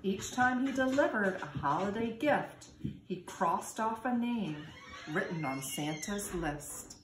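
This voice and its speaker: A woman in her forties, a teacher, reading a children's storybook aloud in an expressive, singsong way. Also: medium pitch, slow pace. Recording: echoey room with background noise.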